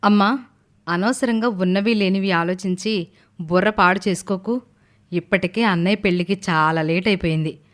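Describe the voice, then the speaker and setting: A practical young daughter in a cartoon moral tale, played by a woman. She speaks in a medium-pitched voice, insistent and persuasive. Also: normal speed, natural voice. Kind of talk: moral story cartoon voice acting.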